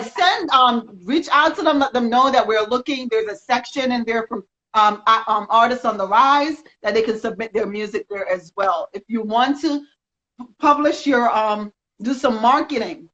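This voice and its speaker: A woman giving energetic, rambling encouragement in a casual live video chat, speaking as a magazine representative. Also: fairly high pitch. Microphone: video call. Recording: dull lo-fi sound.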